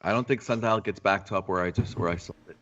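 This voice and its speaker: Male co-host, lowish voice, relaxed, laughs easily at the jokes. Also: quick pace, highs cut off. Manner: laid-back, laughs along easily. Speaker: stream co-host. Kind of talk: casual banter on a live stream.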